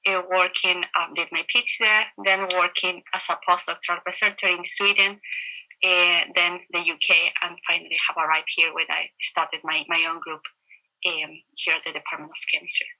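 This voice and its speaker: A woman with a Chilean Spanish accent speaks in a very bright, forward voice that sits slightly low. She explains her academic work as an interviewee on a video call, choosing her phrases in a halting, reflective way. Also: little pitch movement, poor audio. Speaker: interviewed professor of computational chemistry. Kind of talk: interview answers, explaining research.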